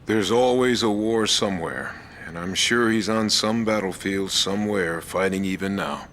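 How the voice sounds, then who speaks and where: A weary former fighter pilot looks back on the war in a very deep, quiet, measured voice, as a man plays him. He sounds regretful and slow to speak, with a faint rumble behind him. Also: lightly acted. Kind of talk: reflective interview monologue.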